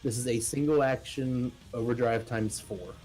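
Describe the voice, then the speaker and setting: A man's voice: medium pitch, fairly even intonation, normal speed, darkish tone. A man playing a tabletop role-playing game, talking informally and thinking aloud as he describes his moves. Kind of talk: casual game-table talk. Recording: faint music behind the voice.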